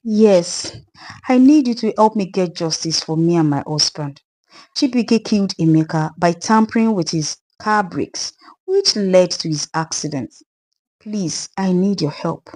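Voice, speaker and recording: A woman's voice: on the low side, unhurried, dark tone, poor audio. A woman voicing the sorrowful, wronged ghost of a murdered widow in a dramatised folktale, plaintive and earnest, with a Nigerian accent. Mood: pleading.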